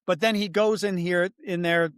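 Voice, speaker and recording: A middle-aged man co-hosting a political podcast, talking in a measured, thoughtful way with frequent restarts. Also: sits high, steady tone, clear, resonant tone, no room sound, studio quality. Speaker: podcast co-host. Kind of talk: political commentary.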